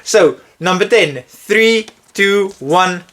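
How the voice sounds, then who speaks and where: Chatty, fairly high-pitched male host. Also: animated, slow, pushed and bright. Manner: chatty, playful, self-interrupting. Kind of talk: casual chat.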